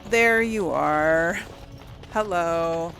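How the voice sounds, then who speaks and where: A woman's voice: fairly low pitch, very slow, fairly bright tone, low-quality recording. A woman hosting a casual role-play gaming video, talking in an easygoing way to herself and her audience. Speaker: gaming host playing and commenting. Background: game music.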